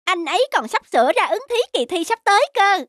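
A woman voicing an excited little village boy in a cartoon, bright and eager. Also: very high voice, brisk, no room sound, clean studio sound, exaggerated voice. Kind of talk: cartoon dubbing.

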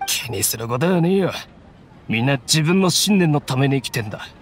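男性が演じる荒っぽい口調の傭兵、中音域. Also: male voice, mid-range voice, expressive, fairly quick, slight background noise, close to an everyday voice. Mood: reassuring. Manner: rough, plain-spoken. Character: rough-spoken, earnest, resigned male mercenary. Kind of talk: Japanese anime game acting.

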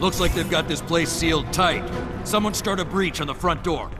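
A man's voice: a little high, normal speed, natural voice. Man playing a hard, blunt squad commander. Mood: urgent, commanding. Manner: blunt, authoritative. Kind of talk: game combat dialogue. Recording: loud game music and effects.

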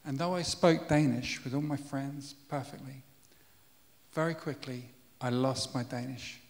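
A man's voice: medium pitch, steady tone, a little slow. A man asking an earnest, slightly hesitant question from the audience. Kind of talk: conference Q&A. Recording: echoey lecture hall.

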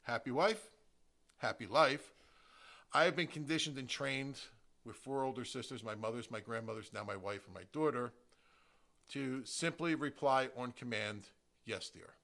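A middle-aged man with an American accent presents a prepared podcast monologue. His voice is bright and forceful at a medium pitch, and he phrases things emphatically and deliberately. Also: creaky. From New Jersey, United States. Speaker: podcast host, real estate agent. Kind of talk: prepared solo podcast introduction.

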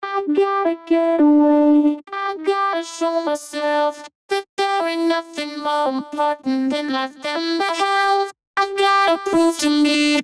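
A very high female pop vocal, sung slowly through a vocoder. Prominent synthesizer music plays with the voice. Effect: vocoder on the singing voice. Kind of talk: pop singing.

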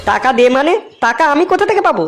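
Hard-up young newlywed for cartoon comedy male performer, cheeky, high voice. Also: very animated, fast talker, a bit bright, soft background music, exaggerated voice. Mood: protesting.

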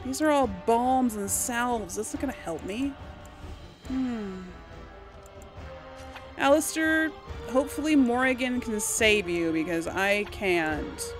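A woman giving casual, expressive gameplay commentary, reacting aloud and talking to the game's characters. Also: on the high side, slow, pauses often. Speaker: gamer narrating a role-play let's play. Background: game music behind the voice.

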